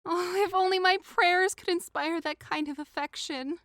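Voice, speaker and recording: A woman's voice: very high-pitched, steady tone, moderate pace, fairly bright tone, dry recording, studio quality. A woman playing a lovesick, envious young woman in an audio drama, with a dramatic, self-pitying manner. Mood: bitter lament. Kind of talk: modernized Shakespeare audio drama acting.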